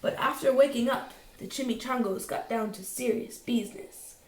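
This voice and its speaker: High-voiced woman reading a bedtime story. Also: normal speed. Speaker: storybook reader. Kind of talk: expressive bedtime story narration. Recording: roomy, distant microphone.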